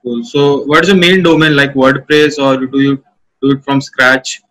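A man's voice: medium pitch, steady tone, normal speed, a bit bright, poor audio. A man, casually asking questions as a podcast interviewer.

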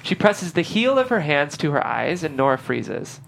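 A woman's voice: sits low, very animated, normal speed, gravelly, a little hiss or hum. A woman reading stage directions as scripted narration at a table read.

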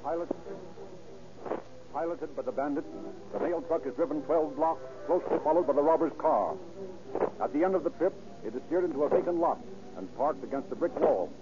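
A man's voice: fairly high pitch, expressive, background noise. A man with an American accent narrating an old-time radio crime drama in a grave, declamatory manner. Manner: grave, declamatory, deliberate emphasis. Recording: muffled old radio recording, music behind.

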